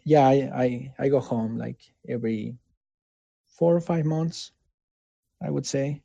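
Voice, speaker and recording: A man with a Mexican accent and Spanish as his first language, answering casually in an interview in an earnest, halting way, often checking for agreement. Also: mid-range voice, gravelly, warm tone, no echo, muffled recording. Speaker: interviewee, game developer.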